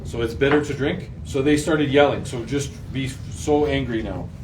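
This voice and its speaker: A man's voice: mid-range voice, normal speed. A man leading a casual, interactive Bible lesson in a playful, slangy way, asking the group lots of questions. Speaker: group Bible reading leader. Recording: roomy echo, distant mic, faint noise.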